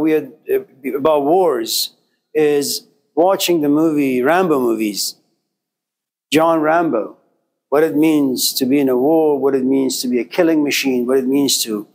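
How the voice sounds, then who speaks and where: Man, Persian background, teacher, classroom lecture, rhetorical repetition, somewhat high-pitched. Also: darkish tone, rough recording.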